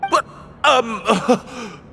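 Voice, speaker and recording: A man's voice: extremely high pitch, animated, drawn-out words, gravelly, pushed and bright, in a large hall, a little hiss or hum, exaggerated voice. An actor playing a nervous, apologetic young man in a video game, stammering and hesitant. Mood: grateful, uneasy. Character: nervous, apologetic, flustered young male club member.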